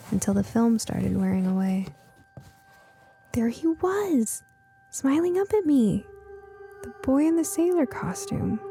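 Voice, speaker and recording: A woman reads a first-person story as an audiobook narrator. Her tone is soft-edged and mellow, with noticeable vocal fry. She tells it expressively and with suspense. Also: mid-range voice, a little hiss or hum.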